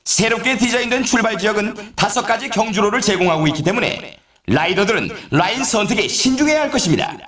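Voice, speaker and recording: An actor voicing an excitable male snowboard race announcer in a video game, with a polished, showy scripted delivery. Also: high voice, fast, echoey room, crowd noise. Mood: showy, hyped. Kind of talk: scripted game commentary, course introductions.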